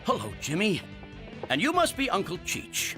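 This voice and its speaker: A man's voice: high-pitched, huge pitch swings, normal speed, sharp tone, faint music behind, full character voice. A man voicing a smug, eccentric rich inventor in a cartoon, theatrical and sing-song.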